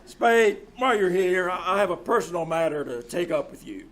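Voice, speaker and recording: An actor playing a flustered, shady husband with a hesitant, stammering delivery in an old-time radio play. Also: male voice, sits high, expressive, normal speed, a bit bright, a little hiss or hum. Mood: furtive. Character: flustered, shady wealthy husband with a guilty secret.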